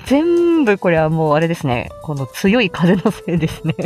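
Giggly woman with lively, swooping pitch. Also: normal speed, soft background music. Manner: giggly, self-deprecating, laughs often at herself. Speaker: host of a casual audio stream. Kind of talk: casual rambling chat with listeners.

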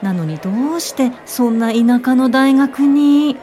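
A woman's voice: high voice, animated, unhurried, warm tone, soft background music. An actress in a radio drama playing an incredulous, concerned city woman questioning her friend.

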